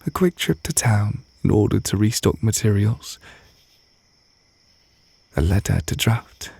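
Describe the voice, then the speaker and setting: A man acting a gentle, adoring witch boyfriend in an intimate ASMR roleplay, soft-spoken and tender with a light teasing manner. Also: fairly low pitch, lively intonation, unhurried, vocal fry, slightly rough, no echo, exaggerated voice. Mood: wistful, adoring.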